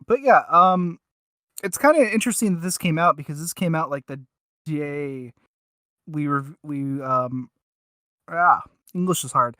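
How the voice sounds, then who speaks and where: A man co-hosting a casual review podcast, joking along in a halting, self-interrupting way. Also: fairly high pitch, lively intonation, bright, forceful tone, no echo, clean studio sound. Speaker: podcast co-host reviewing audios.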